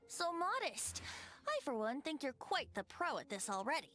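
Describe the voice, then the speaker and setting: A woman voicing a playful young male bard, a wind spirit in a video game, who muses and teases lightly, over soft game music. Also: high voice, sing-song, moderate pace. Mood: teasing. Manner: lightly teasing, musing.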